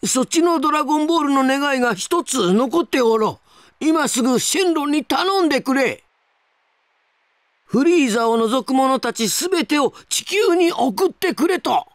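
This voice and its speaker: A man's voice: extremely high pitch, lively intonation, normal speed, dry recording, studio quality. A man voicing a fussy, emphatic elderly deity in an anime video game. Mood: urgent. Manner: urgent, stammering, emphatic. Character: fussy elderly male deity, alarmed but kindly.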